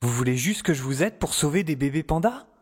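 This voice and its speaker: A man's voice: fairly high pitch, expressive, fairly quick, darkish tone, husky. A man playing a young professor, the wry and hesitant hero of an audio drama, who talks colloquially and narrates his own story. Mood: wry, confiding. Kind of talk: audio drama dialogue and first-person narration.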